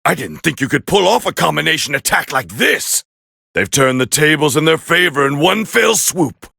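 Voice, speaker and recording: A man voicing a proud, scornful alien warrior prince as battle commentary in an anime fighting game, with a harsh, gravelly growl and a haughty, clipped delivery. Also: on the high side, sing-song, moderate pace, bright, forceful tone, exaggerated voice. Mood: grudgingly impressed.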